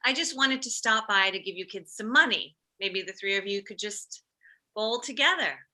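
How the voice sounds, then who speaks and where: A woman acting an excitable, jealous, meddling middle-aged wife and mother in a comedy script read, blunt and needy. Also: medium pitch, moderate pace. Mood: breezy, meddling.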